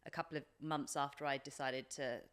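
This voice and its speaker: A woman in her forties with a British accent and a low-pitched voice. She speaks as a podcast guest, reflective and informal. Her phrasing is hesitant, circling through small filler phrases as she explains herself. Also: steady tone, fairly quick, clear, resonant tone. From England, from age three. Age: 40s. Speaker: podcast guest, life coach. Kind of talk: reflective personal interview.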